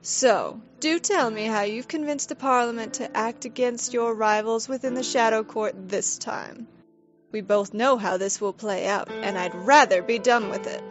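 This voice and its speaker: Woman playing a high-voiced, coolly formal court noblewoman. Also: close to an everyday voice. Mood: cool, weary. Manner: formal, measured, pointed. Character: shrewd, weary noble niece at a scheming fairy court. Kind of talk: scripted audio drama acting. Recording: slightly dull lo-fi recording. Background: faint music.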